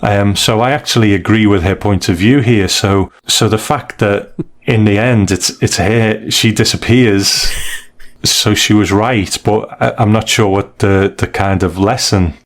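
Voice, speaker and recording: A man's voice: lowish voice, steady tone, a little slow. A man co-hosting a podcast, discussing and reviewing stories casually in a thoughtful, hesitant way. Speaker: podcast co-host.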